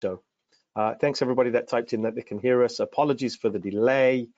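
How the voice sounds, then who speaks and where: A man hosting a video-call interview, introducing his guest in a friendly, halting way with lots of fillers. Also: medium pitch, fairly even intonation, a little mellow. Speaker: podcast host, coaching director. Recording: dry, dull call audio.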